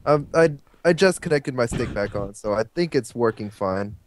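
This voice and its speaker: A man's voice: mid-range voice, lively intonation, moderate pace, slight background noise. Young man, guest on a podcast, laid-back, mumbly, casual chat.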